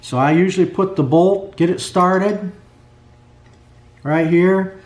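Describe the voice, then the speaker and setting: A man's voice: sits high, takes it slowly. A middle-aged man talking through a clutch rebuild at his workbench in a plainspoken way, with lots of fillers. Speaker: snowmobile clutch mechanic. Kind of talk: hands-on workshop tutorial. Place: garage workbench. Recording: echoey workshop.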